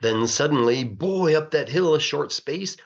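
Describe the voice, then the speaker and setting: A man reading a children's rhyming story aloud in a playful, rhythmic, expressive way, like a teacher. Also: fairly high pitch, a little slow, lo-fi sound. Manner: animated, playful, rhythmic verse delivery.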